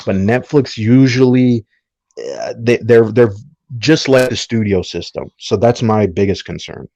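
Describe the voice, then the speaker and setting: A man's voice: mid-range voice, measured pace, a little mellow, no room sound, dull audio. A man speaking as a podcast panelist in a casual discussion, opinionated and often tagging his points with a question to seek agreement.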